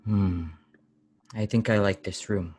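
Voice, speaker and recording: A man playing a strange, pious and weary lodger in a radio play, solemn, deliberate and brusque. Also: on the low side, steady tone, darkish tone, slightly rough. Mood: brooding, reserved. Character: strange, weary, severe pious lodger, brooding and easily angered. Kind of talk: old-time radio play acting.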